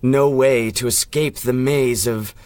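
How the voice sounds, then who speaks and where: Haunted male researcher, played by an actor, slow and halting, mid-pitched, defeated, close dry sound, old radio melodrama. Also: fairly even intonation, darkish tone, no room sound, natural voice. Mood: despairing. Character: broken, haunted male research scientist, anguished and ashamed. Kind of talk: 1930s-style radio drama acting.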